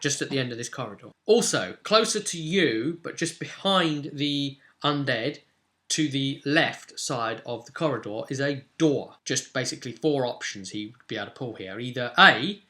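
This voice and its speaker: Bright-voiced man recapping a game. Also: medium pitch. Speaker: game master recounting a session. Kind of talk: casual unscripted game recap storytelling.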